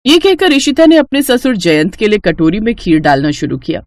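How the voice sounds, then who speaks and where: A woman's voice: mid-range voice, very animated, fairly quick, darkish tone, dry recording. A woman narrating a scripted bedtime family story as the storyteller.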